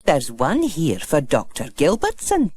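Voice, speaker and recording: A woman's voice: low voice, huge pitch swings, measured pace, darkish tone, breathy edge, heavily acted. A woman voicing a kindly, fussing older village postmistress for a children's storybook audiobook.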